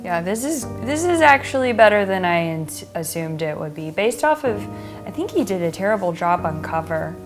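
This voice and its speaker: A woman's voice: mid-range voice, very animated, moderate pace. Female guest painter, casual and outspoken, mid-range voice over faint music. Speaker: guest painter reviewing photographs. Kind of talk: casual chat.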